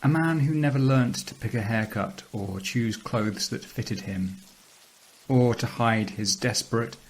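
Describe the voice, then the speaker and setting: An actor playing a sullen, socially awkward man, narrating an audio drama monologue in a measured, brooding, literary way. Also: mid-range voice, slight background noise, lightly acted, poor audio. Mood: brooding, disdainful.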